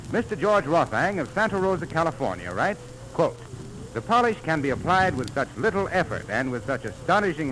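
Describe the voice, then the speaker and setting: High, animated American radio announcer man. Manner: polished, formal, persuasive announcing. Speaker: radio announcer and sponsor pitchman. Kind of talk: 1930s radio ad read. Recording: noisy vintage 1930s radio recording.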